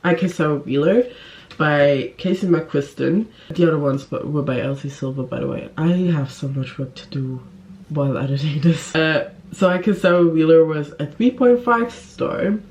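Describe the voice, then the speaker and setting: A woman's voice: deep voice, normal speed, darkish tone. A woman chatting casually to camera as a book vlogger, with an informal, giggly, effusive manner.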